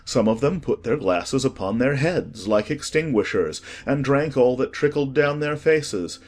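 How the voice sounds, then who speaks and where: A man narrating a scripted storybook in an expressive, deliberate way. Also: medium pitch. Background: music.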